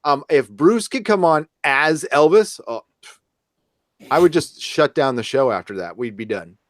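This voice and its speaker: A man hosting a podcast in casual chat, with a halting, stammering, jokey delivery. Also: on the high side, lively intonation, no room sound, clean studio sound. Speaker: podcast host.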